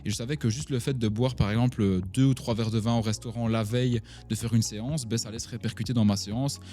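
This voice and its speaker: A young man in his twenties speaks with a Belgian French accent and a mellow, warm tone. He talks straight to the listener in a chatty, casual way, full of fillers. Faint music plays underneath. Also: medium pitch, fairly even intonation, quick pace. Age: 20s. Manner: chatty, addresses the listener directly, many fillers. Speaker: online entrepreneur and vlogger. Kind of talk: casual talk to camera.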